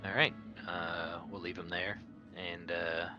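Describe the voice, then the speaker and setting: A man's voice: low voice, level tone, slow pace, dull audio, over music. A man talking casually as a player in a tabletop roleplaying game.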